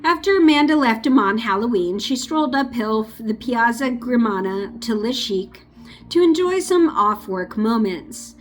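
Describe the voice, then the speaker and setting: A woman with a fairly high voice reads a nonfiction book aloud in a casual, amateur style. She trips over unfamiliar names and restarts sentences. Now and then she stops for a quick, offhand comment of her own. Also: animated, faint music behind. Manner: stumbles over names and restarts, breaks off for chatty asides. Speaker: audiobook reader. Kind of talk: amateur audiobook reading with asides.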